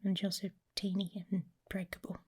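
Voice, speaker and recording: A woman voicing a protective, teasing werewolf mate in an intimate ASMR romance roleplay, speaking softly and casually, often slipping into a whisper. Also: mid-range voice, level tone, moderate pace, slightly rough, lightly acted. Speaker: audio roleplay performer.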